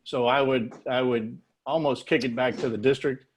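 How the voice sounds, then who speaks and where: A man's voice: mid-range voice, steady tone, moderate pace, slight background noise, rough recording. A man speaking off the cuff in a folksy, informal way as he hosts a meeting and takes questions on a video call. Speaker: meeting host answering questions.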